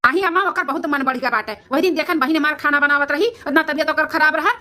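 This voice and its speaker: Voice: sits high, fairly even intonation, quick pace, pushed and bright. A performer voicing a hot-headed, blustering adult son, protective of his mother, in an Awadhi comedy cartoon, with an indignant manner and an Awadhi accent.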